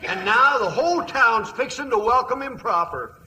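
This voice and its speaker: High-voiced folksy Western pa. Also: male voice, lively intonation, moderate pace, bright, forceful tone, exaggerated voice, low-quality recording. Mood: worried. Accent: American. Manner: rustic drawling cowboy dialect. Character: folksy newly rich Western father, flustered and stubborn. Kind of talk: 1950s radio comedy acting. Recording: old radio broadcast recording.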